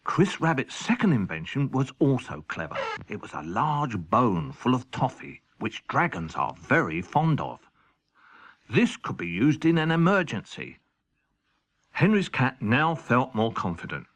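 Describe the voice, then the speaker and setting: A man narrating a children's audiobook as an expressive, theatrical storyteller. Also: medium pitch, unhurried.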